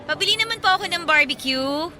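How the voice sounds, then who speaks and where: Casting: sassy, playful young foodie for Filipino radio drama; high, very sharp voice. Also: female voice, animated, fast, heavily acted. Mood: playful, haggling. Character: cheeky, food-loving young woman, sassy but polite to elders. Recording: music under the dialogue.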